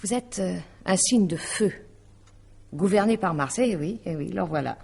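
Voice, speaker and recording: An actress playing a composed, persuasive astrologer in a French radio drama, speaking in a measured, explanatory way. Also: female voice, fairly low pitch, lively intonation, vocal fry, mellow, slightly rough, exaggerated voice. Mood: assured. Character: composed, persuasive female astrologer consulting a client. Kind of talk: 1950s-style French radio drama acting.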